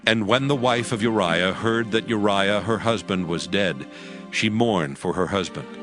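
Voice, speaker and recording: Low-pitched male scripture narrator. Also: a little slow, music in the background. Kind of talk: scripted narration of a dramatized Bible audiobook.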